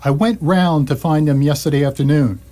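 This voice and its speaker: A man's voice: a little high, fairly quick, dark tone, lightly acted. A man playing an indignant, stubborn and sarcastic homeowner in a comic radio play, with a dry delivery.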